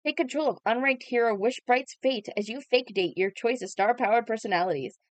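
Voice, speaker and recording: A woman's voice: a little high, fairly quick, no echo. A woman hosting a game livestream, reading aloud and reacting as she plays. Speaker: livestream host.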